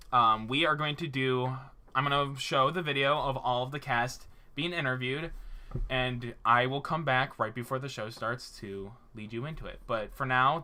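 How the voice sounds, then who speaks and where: Bright, quick-talking male stream host. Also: medium pitch. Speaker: host introducing the cast. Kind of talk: casual livestream hosting. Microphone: video call.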